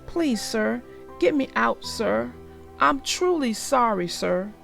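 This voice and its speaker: A woman's voice: a little high, expressive, slow pace, gravelly, a bit bright, soft background music, heavily acted. A woman voicing a small male tank engine who pleads and apologizes. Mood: pleading.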